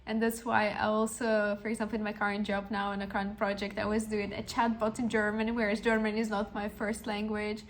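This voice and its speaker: A woman's voice: mid-range voice, a little hiss or hum. A woman in her twenties, a podcast host telling a personal story in an earnest, encouraging way with frequent restarts. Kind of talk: reflective personal storytelling monologue.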